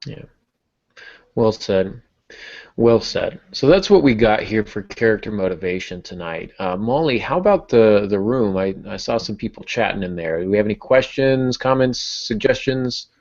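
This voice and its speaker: A man's voice: on the low side, lively intonation, normal speed, darkish tone, lo-fi sound. A man hosting a podcast in a loose, jokey, casual chatting style. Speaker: podcast host.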